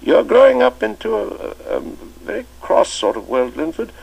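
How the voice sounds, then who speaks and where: A kindly elderly schoolmaster, pitched well above the reader's own voice and gravelly in tone, as a man puts him on. He talks in a hesitant, genial way, scattering ums and uhs, with a lively rise and fall. Storybook reading. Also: moderate pace, exaggerated voice, poor audio. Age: older.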